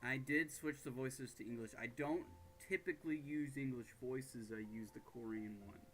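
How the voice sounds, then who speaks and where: A man streaming a video game, chatting casually and muttering in a low-key, offhand way. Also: normal speed, a bit bright. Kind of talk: casual livestream chat over gameplay. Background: game music.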